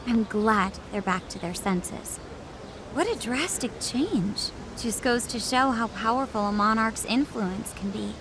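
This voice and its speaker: A woman's voice: on the high side, expressive, a little slow, background noise. An actress playing an earnest, caring young woman in an English game dub, speaking politely and considerately. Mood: relieved. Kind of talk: English anime-style game dub acting. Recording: music under the voice.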